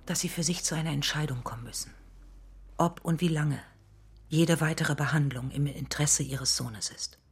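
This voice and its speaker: A woman playing a direct, principled family doctor in a radio play, speaking in a measured, formal way. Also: sits low, breathy edge, full character voice. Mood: grave, gentle. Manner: measured, matter-of-fact, formal.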